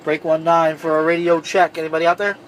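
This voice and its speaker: A man's voice: a little high, level tone, quick pace, background noise. A man giving an informal, conversational hands-on tutorial, talking directly to viewers like a trucker hosting his own video. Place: inside a semi truck cab.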